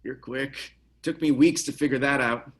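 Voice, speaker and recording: A man playing a grave, haunted police detective in a screenplay table read, serious and measured. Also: medium pitch, fairly even intonation, fairly bright tone, close to an everyday voice.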